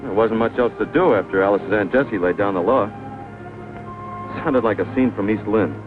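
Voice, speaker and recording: An actor playing a wry young American bachelor in a 1940s film. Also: male voice, fairly low pitch, moderate pace, natural voice. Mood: wry, resigned. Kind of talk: 1940s film drama acting. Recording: tinny old film soundtrack with music.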